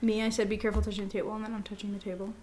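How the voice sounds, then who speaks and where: A woman's voice: medium pitch, little pitch movement, rapid-fire, mellow, a little hiss or hum. A young adult woman co-hosting a podcast in casual chat, playful, giggly and teasing. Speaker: podcast co-host.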